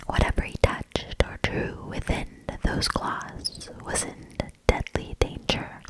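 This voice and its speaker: A woman reading a fairy tale aloud in a breathy, hushed ASMR whisper with a measured delivery. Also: poor audio. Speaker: ASMR storybook reader.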